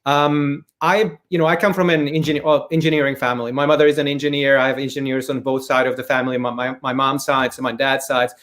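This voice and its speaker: A man's voice: medium pitch, level tone, fast, few pauses, a bit bright. A man from the Czech Republic with a Czech accent, giving conversational interview answers and often rephrasing as he talks. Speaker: interview guest, corporate storyteller and former journalist. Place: remote video webinar.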